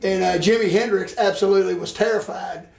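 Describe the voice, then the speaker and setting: A man with a raspy, scratchy voice hosting a casual chat, talkative and full of restarts. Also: high-pitched, steady tone, fairly quick, darkish tone. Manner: chatty, stacks restarts and repeated words. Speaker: show host and songwriter. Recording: echoey room.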